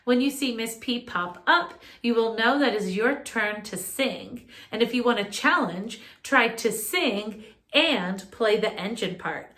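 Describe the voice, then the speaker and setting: A woman music teacher leading a children's lesson, speaking in a clear, instructive way straight to young listeners. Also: a little high, pushed and bright. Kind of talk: children's music lesson and read-aloud narration.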